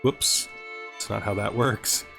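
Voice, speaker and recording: A man's voice: fairly low pitch, fairly even intonation, takes it slowly, creaky, bright, forceful tone, faint music behind. A man hosting a gameplay series, speaking as a narrator and commentator. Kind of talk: gaming commentary with scripted lore narration.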